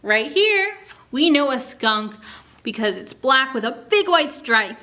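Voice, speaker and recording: A woman hosting a children's story time, reading a picture book aloud expressively and pausing to ask young listeners questions and explain. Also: high voice. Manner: asks listeners questions, expressive teaching delivery.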